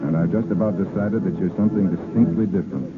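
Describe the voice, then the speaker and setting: An actor as breezy, smitten suitor, tinny old radio. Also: male voice, sits low, fast talker, lightly acted. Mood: teasing, flirtatious. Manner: breezy, teasing banter. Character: cocky, smitten male rancher wooing a shipboard acquaintance. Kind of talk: 1930s radio drama acting. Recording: old tinny radio broadcast, music behind.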